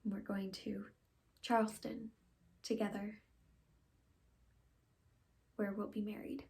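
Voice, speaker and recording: A woman acting an earnest, conflicted young woman in a halting, pleading stage monologue of confession and farewell. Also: mid-range voice, level tone, vocal fry. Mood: subdued, sorrowful. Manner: halting, pleading, with long pauses and hushed phrases.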